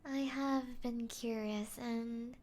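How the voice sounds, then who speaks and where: A woman voicing a seductive, mocking, possessive vampire in an ASMR roleplay, teasing and taunting in a breathy voice that slips into whispers. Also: fairly high pitch, fairly even intonation. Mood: teasing, musing. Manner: teasing, taunting, slow breathy delivery with whispers and soft chuckles.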